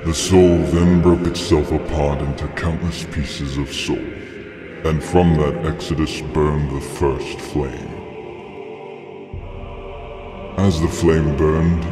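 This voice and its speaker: A man voicing an ancient dragon elder in a comic dub, speaking in a solemn, ominous and deliberate storytelling manner. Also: very deep voice, fairly even intonation. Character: ancient male dragon elder, grave and prophetic. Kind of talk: dramatic comic dub acting. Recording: long cavernous reverb under music.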